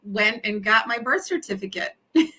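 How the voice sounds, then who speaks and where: Bright-voiced, chatty woman host. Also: medium pitch, lively intonation, moderate pace, slightly rough, low-quality recording. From Colombia. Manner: chatty, laughs easily, conversational. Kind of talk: casual interview conversation.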